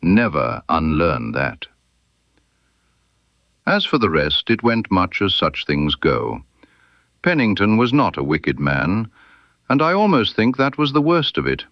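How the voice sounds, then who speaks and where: A man reads a period mystery story in a measured, literary manner. His voice is low and moves unhurriedly with lively intonation. The sound is dry and a little dull. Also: dry recording. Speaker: narrator of a detective story. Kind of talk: scripted first-person narration of a period mystery story.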